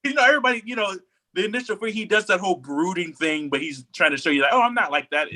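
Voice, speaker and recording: A man co-hosting a podcast in casual, slangy, opinionated and animated chat. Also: high voice, very fast. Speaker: podcast co-host. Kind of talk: casual pop culture chat.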